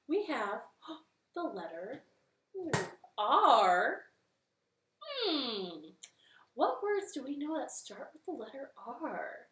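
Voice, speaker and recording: A woman leads a children's storytime from her living room in a sing-song, cheerful manner. She speaks slowly, in a fairly high voice. Her voice rings off the room with a noticeable echo. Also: very animated, noisy background. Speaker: library storytime host.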